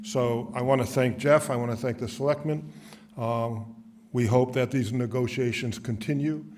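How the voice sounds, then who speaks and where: Man speaking plainly with halting fillers. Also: medium pitch, steady tone, darkish tone, slight background noise. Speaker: resident association president. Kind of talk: public comment at town meeting. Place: town hall meeting room.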